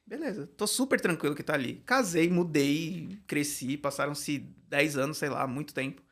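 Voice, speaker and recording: A man, a writing teacher telling stories in a casual podcast chat, animated and laughing often. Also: a little high, moderate pace. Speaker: Portuguese and creative writing teacher, guest.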